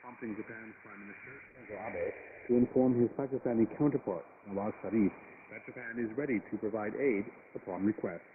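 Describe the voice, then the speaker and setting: A man's voice: lowish voice, fairly quick. A man reading scripted international news in a formal manner as a radio anchor. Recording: noisy, narrowband shortwave radio reception.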